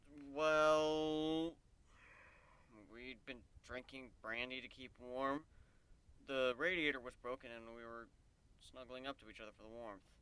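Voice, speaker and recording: A man voicing a gentle, easygoing male pony in a fantasy story, with a dry, understated delivery. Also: medium pitch, fairly even intonation, unhurried, smooth, natural voice, low-quality recording. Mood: awkward.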